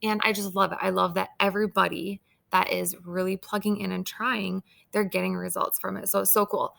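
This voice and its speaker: Brief: female team leader, chatty and upbeat, strong gravelly fry, slightly scratchy throat. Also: medium pitch, flat delivery, brisk, a bit bright. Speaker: team leader of sales consultants. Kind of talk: casual training talk.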